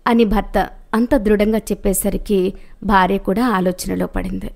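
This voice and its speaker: Woman doing story narration, scripted moral tale, medium pitched voice. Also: level tone, moderate pace, creaky, a little mellow.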